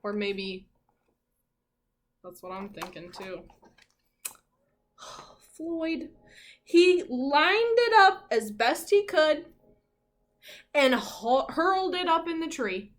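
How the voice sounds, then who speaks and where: A woman teacher reading a picture book aloud to children in an expressive, encouraging way, pausing to ask her listeners questions. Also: sits high, a little hiss or hum.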